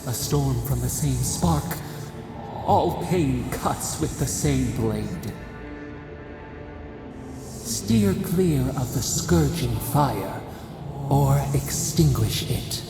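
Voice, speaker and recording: A performer voicing an ancient spirit in a video game, speaking cryptically and solemnly in halting fragments as a ghostly echoing voice in the head. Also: medium pitch, lively intonation, exaggerated voice. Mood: ominous warning. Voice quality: creaky, gravelly. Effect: long ghostly reverb. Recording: heavy reverb under music. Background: music.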